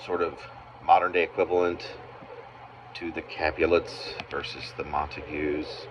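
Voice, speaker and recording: A man reading his own narrative poem aloud as its author, in halting phrases with pauses mid-sentence. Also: extremely low pitch, flat delivery. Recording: muffled, noisy amateur home recording.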